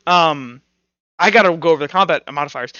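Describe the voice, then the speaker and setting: A man running a tabletop roleplaying game as game master, narrating and explaining rules informally, thinking out loud as he goes. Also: a little high, a little fast, no room sound, dull audio.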